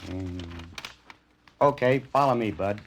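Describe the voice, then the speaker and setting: A man playing a gruff, bossy doorman who gives clipped orders in a 1940s-style radio drama. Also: lowish voice, slow. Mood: brusque. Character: gruff, curt male doorman minding a flophouse. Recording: noisy old radio broadcast, faint hiss.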